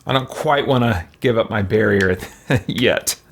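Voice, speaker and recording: A man's voice: on the low side, slow pace. A man giving casual game commentary, thinking aloud to himself in a musing, tentative way. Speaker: gamer hosting a let's play.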